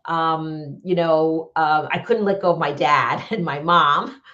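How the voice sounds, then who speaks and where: A low-voiced woman with a New York accent speaks as a podcast guest. Her delivery is candid and casual, doubling back on phrases for emphasis. The audio is dull and slightly muffled. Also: fairly even intonation, slow, pushed and bright. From New York area, United States. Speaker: podcast guest, business coach. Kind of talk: casual personal storytelling.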